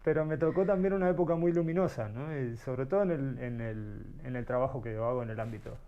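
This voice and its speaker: A man's voice: mid-range voice, fast talker, dull audio. A middle-aged man born in Uruguay, telling his life story as an interview guest, thoughtful and searching for words. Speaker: guest, artist.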